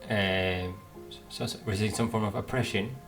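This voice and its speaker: A man hosting a game playthrough, commenting casually and haltingly as he thinks aloud. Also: on the low side, steady tone, music in the background. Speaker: gaming video host.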